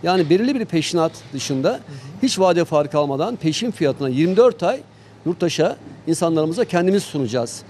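Higher-pitched man, halting company chairman. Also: sing-song, darkish tone, a little hiss or hum. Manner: halting, measured, pausing often between phrases. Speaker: company chairman being interviewed. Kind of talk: on-site promotional interview.

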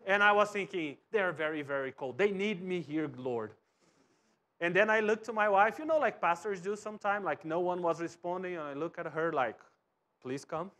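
A man in his thirties from Brazil, a pastor with a Brazilian Portuguese accent, preaching a sermon from a church stage and swinging from soft to loud. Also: high voice, normal speed. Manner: animated, wide gestures, swings from soft to loud. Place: church stage with cross backdrop and bookshelves.

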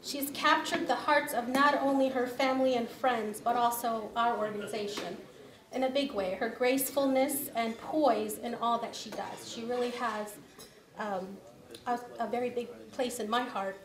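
A middle-aged woman speaks into a podium microphone, reading an award presentation from her notes and switching between two languages. Her voice sits high, and it rings through a large banquet hall while a dinner crowd murmurs behind her. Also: steady tone, moderate pace. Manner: reads from notes, switches between English and Assyrian. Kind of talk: ceremony speech.

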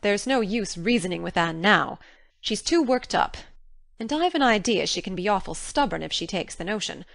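A woman voicing a stern, practical older female guardian with a brisk, no-nonsense manner in an audiobook. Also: mid-range voice, lively intonation, creaky, a bit bright, no room sound. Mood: resigned pondering. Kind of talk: audiobook character dialogue.

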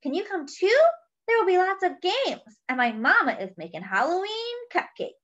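A bouncy, eager little boy mouse from a storybook, as a woman puts him on. His voice is high, squeaky and brightly sharp, leaping up and down with excitement. The sound is a little dull and lo-fi. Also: moderate pace, exaggerated voice. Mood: excited.

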